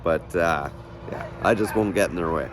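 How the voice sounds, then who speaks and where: A man with a hoarse, raspy voice chatting casually in a vlog about his shop, rambling and trailing off with fillers. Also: deep voice, moderate pace, noisy background. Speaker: vlogger. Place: garage shop, partly outdoors.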